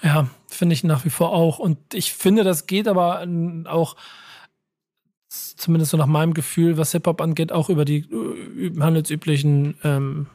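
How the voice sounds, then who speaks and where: Male interviewer, fairly high voice, very dry recording, conversational and thoughtfully halting. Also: fairly even intonation, dark tone, dry recording. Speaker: interviewer, podcast host.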